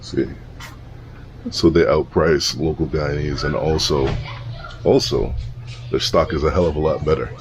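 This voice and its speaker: Low-voiced man vlogging on a noisy street. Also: fairly even intonation, measured pace, long pauses. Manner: loose, conversational, thinking aloud with restarts. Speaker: travel vlogger. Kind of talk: casual walk-and-talk vlog. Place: city streets outdoors. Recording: outdoor street noise. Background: street traffic and city ambience.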